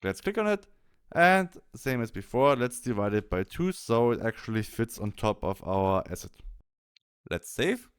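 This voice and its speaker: A man presenting a software tutorial, explaining things step by step in an instructional way. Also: medium pitch, very animated, normal speed, clear, resonant tone, no echo, studio quality. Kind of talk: step-by-step screen tutorial narration.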